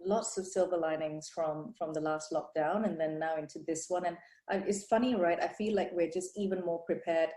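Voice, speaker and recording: A woman's voice: fairly low pitch, steady tone, fairly quick, dark tone. Upbeat woman host in an echoey room. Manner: effusive, upbeat, frequent fillers. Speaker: clinic owner, interviewer. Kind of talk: enthusiastic interview hosting.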